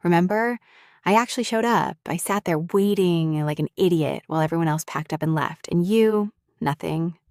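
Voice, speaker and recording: Brief: a woman playing a sharp-tongued young bully, lowish voice, dry close recording, scolding with worry underneath. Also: moderate pace, no echo, natural voice. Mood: irritated. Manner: scolding and blunt, then anxious and tender. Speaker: voice actor in an audio roleplay. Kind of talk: scripted audio roleplay.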